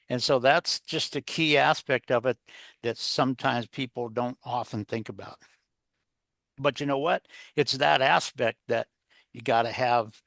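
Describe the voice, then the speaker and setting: Male interview guest, mid-pitched, coach-style advice, meandering and repetitive, rhetorical questions, very little room sound. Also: gravelly, a bit bright, no room sound, clean studio sound. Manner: rambling, repeats phrases, poses rhetorical questions. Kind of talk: conversational advice-giving interview.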